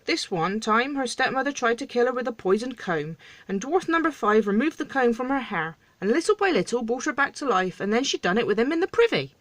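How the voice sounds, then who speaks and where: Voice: mid-range voice, very animated, fairly quick, hardly any pauses, fairly bright tone, dry recording, voice pushed up. A storyteller voicing a crude, indignant male dwarf who is blunt and accusing.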